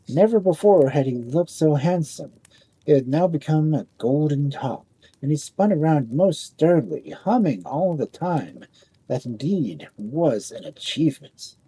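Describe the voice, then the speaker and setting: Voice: mid-range voice, mellow, husky, rough recording. A storyteller reading a scripted fairy tale as narration.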